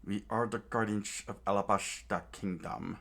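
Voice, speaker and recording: A man's voice: lowish voice, fairly even intonation, normal speed, creaky, a bit bright. A man voicing a stern, loyal male guard captain who speaks in a firm, commanding way. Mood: troubled.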